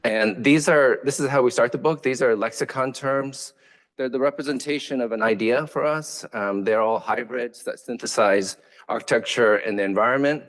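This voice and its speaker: A man's voice: mid-range voice, moderate pace, a bit bright. A man whose first language is Vietnamese, an architect giving a lecture in a hesitant way, with many fillers and restarts. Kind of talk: academic lecture.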